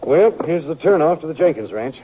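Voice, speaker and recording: An actor playing a weary, fatalistic western sheriff with plain folksy speech, in 1950s radio drama style. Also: male voice, on the high side, animated, a little fast, slight background noise, natural voice. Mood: resigned, weary. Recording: dull old 1950s radio recording.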